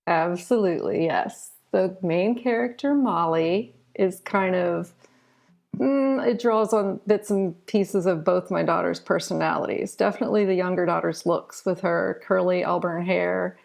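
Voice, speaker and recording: Middle-aged woman, gravelly fry in the voice, conversational storytelling, interview guest. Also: lowish voice, measured pace, a little mellow. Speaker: interview guest, children's author.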